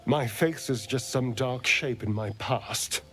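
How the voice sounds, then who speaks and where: An actor playing a sardonic, vain vampire in a video game, theatrical, arch and drawling. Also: male voice, mid-range voice, takes it slowly, fairly bright tone, faint music behind. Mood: wistful, bitter. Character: sardonic, vain male vampire, newly free and power-hungry.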